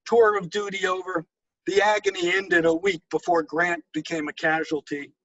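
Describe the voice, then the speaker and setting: A man reading his own poetry and introducing it, with a measured, deliberate delivery. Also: high-pitched, huge pitch swings, gravelly, a bit bright, no room sound, muffled recording. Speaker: poet reading own work.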